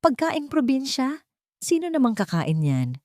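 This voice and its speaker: A woman's voice: high voice, wildly swooping pitch, unhurried, darkish tone, no echo, studio quality, pitched above the performer's own voice, full character voice. Woman narrator playing spoiled young Filipino sister-in-law; sneering, dismissive, swooping intonation, drama storybook. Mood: sneering contempt.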